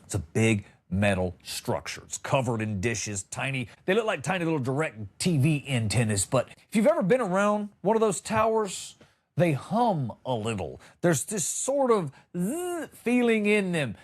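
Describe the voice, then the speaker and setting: A middle-aged man delivers a comic storytelling monologue in a fairly high voice. His pitch swings widely as he builds wry exaggerations and lands emphatic punchlines, like a seasoned comedy podcast host. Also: big pitch swings, normal speed.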